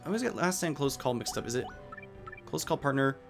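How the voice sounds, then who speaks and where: A man with a mid-pitched voice gives casual commentary as he plays a video game. He drops jokey, self-deprecating asides along the way, and faint game music plays behind him. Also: animated, fast talker, gravelly. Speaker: let's play host.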